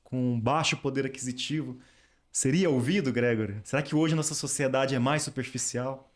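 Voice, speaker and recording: A man's voice: mid-range voice, normal speed. A man hosting a podcast interview, musing aloud and asking reflective questions with hesitant restarts. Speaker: podcast host.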